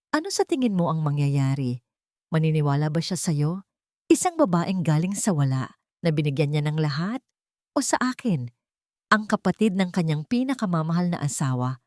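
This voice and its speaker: A woman's voice: lowish voice, a little mellow, no room sound, clean studio sound, close to an everyday voice. A woman with a Filipino accent voicing a scheming, venomous rich female villain in a dramatic audio storybook. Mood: taunting. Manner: menacing, taunting, calculated.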